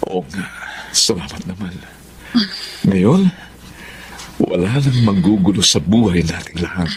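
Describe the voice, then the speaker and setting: A man's voice: low-pitched, animated, measured pace. A Filipino actor playing an earnest married man in a radio drama. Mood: relieved, reassuring.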